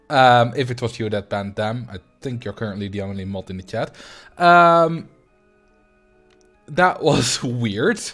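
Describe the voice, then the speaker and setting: Relaxed Dutch man chatting on stream. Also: mid-range voice. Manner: relaxed and rambling, frequent ums and soft laughs. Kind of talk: casual livestream chat, reading and answering chat.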